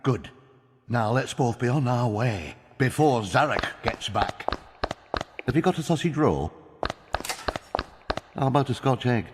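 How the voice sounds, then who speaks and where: A man's voice: medium pitch, expressive, unhurried, pauses often, long reverb tail, noisy background, lightly acted. A man playing an anxious, blustering village mayor with a Northern English accent and folksy, dramatic manner in a video game cutscene. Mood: confiding. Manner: dramatic, exclamatory, folksy dialect.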